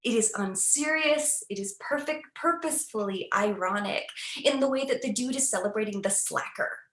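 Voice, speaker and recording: A woman's voice: a little high, normal speed, a bit bright. Earnest woman lecturing in an echoey room. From United States. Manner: earnest, tags points with "right?". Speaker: academic speaker. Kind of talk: webinar lecture.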